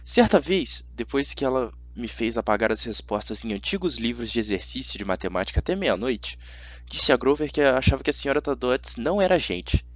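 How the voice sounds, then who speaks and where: A man's voice: mid-range voice, big pitch swings, brisk, highs cut off. A man with a Brazilian accent reading a first-person story as an amateur audiobook narrator, expressively.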